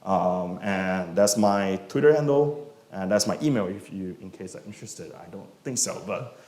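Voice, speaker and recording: A man from Malaysia with a lowish voice speaks in a relaxed, unhurried way, full of fillers, his sentences often trailing off quietly. The sound carries the echo of an event room. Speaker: guest speaker, software engineer. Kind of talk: casual talk and Q&A answers. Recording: echoey room.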